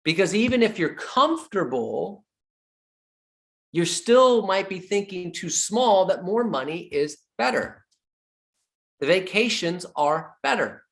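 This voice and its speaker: A man's voice: fairly high pitch, fairly bright tone. A middle-aged man giving a sales training talk as a real estate coach, emphatic, repeating his key points and joking with the audience.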